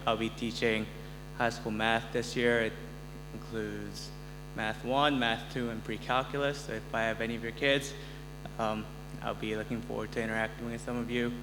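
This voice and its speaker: A man's voice: medium pitch, little pitch movement, moderate pace. A man from Korea and Uganda giving a personal testimony to an audience as a new teacher, in an informal, conversational way. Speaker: incoming missionary high school math teacher. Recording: echoey hall, loud electrical hum.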